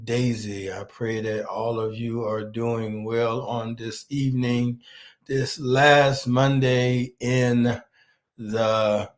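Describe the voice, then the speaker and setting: Hoarse, nasal man preaching online. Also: mid-range voice. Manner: greets viewers by name, many fillers, slow deliberate pace. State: hoarse, nasal from a sore throat. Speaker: pastor. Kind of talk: online evening sermon.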